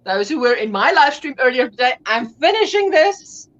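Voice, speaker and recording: A woman's voice: sits high, big pitch swings, normal speed, fairly bright tone, dull audio. A woman with an Afrikaans accent, a native Afrikaans speaker, chatting as a guest on a livestream and often searching for the right English word. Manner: chatty, searches for words and restarts. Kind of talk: casual livestream chat.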